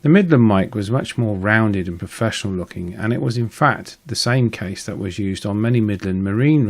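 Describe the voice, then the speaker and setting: A British man hosting a hobby repair channel, explaining things in a matter-of-fact, informal way. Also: on the low side. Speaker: host of a radio repair channel. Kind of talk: explanatory hobbyist narration with informal hands-on commentary.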